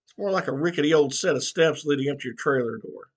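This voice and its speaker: A man's voice: fairly high pitch, animated, fast. A man voicing an old small-town barber, folksy and scolding.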